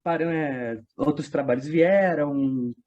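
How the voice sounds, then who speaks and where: A man's voice: fairly high pitch, slow. A Brazilian man chatting casually as an interview guest, telling stories in slangy speech and acting out what people said. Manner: animated storytelling, acting out quoted remarks in slangy speech. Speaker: guest, audio drama writer and voice-dubbing worker.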